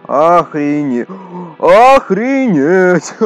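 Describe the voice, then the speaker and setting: A man's voice: high-pitched, big pitch swings, extremely slow, soft background music. A man doing casual, chatty let's play commentary, talking to his viewers as he plays. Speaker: gaming YouTuber.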